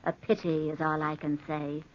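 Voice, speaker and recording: An actress playing a haughty countess who holds seances, grand and commanding in old-time radio horror style. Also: female voice, deep voice, level tone, natural voice. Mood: scornful. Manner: grand, slow, commanding incantation. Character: imperious countess medium, theatrical, haughty, summoning spirits. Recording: dull vintage radio recording.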